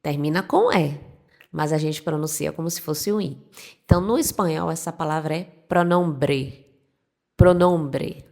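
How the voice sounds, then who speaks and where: A woman's voice: low-pitched, a little slow, creaky, darkish tone. A young woman teacher with a Northeastern Brazilian accent, giving a casual video lesson and explaining patiently, step by step. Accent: Northeastern Brazilian Portuguese. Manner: friendly, reassuring, explains step by step. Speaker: Spanish teacher.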